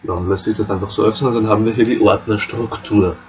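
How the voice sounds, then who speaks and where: An Austrian man explains modding files in a relaxed, step-by-step way, often restarting his sentences. His voice sits fairly low. The desktop mic sounds a little muffled, with a faint hum behind him. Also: quick pace. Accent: Austrian German. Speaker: modding tutorial host. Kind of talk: screen-recorded software tutorial.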